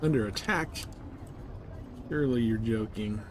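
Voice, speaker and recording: A man's voice: fairly low pitch, a little slow, darkish tone, slight background noise. A man live streaming a space game, giving casual commentary and thinking aloud to himself and his viewers.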